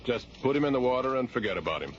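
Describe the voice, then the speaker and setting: Man acting a quick-talking friendly professor. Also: medium pitch, big pitch swings, natural voice. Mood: genial, offhand. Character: friendly, offhand male professor. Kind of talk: science fiction radio drama acting. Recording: muffled radio drama, noisy ambience.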